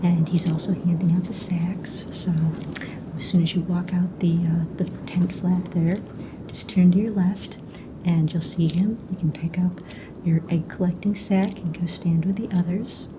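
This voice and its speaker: A woman playing a calm, friendly mistress of ceremonies in a fantasy ASMR roleplay, explaining things in a soft, casual, slightly hesitant whisper. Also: moderate pace, lightly acted. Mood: helpful, patient. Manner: casual, hesitant, explanatory, matter-of-fact about rules and danger. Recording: noisy close recording with low rumble.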